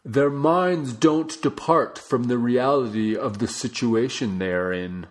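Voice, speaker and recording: A man reading a non-fiction book aloud as a narrator, with measured, deliberate phrasing. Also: mid-range voice. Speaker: audiobook reader.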